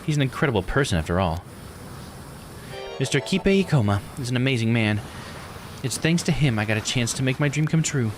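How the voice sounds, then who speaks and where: A man's voice: medium pitch, lively intonation, brisk, long pauses, over music, natural voice. A man voicing a resentful, haughty young woman, a scorned noble-born island diver, reading visual novel dialogue bluntly. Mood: admiring. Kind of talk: visual novel character read-aloud.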